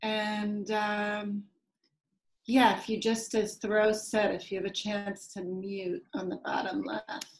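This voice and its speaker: A woman author on a video call, reading her prose poems in a soft, measured way. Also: mid-range voice, flat delivery. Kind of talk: slow poetry reading.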